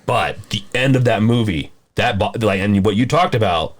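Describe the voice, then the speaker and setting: Man, low pitch, podcast host, casual discussion, rambling, halting delivery, frequent rephrasing. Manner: rambling, halting, often rephrasing mid-sentence.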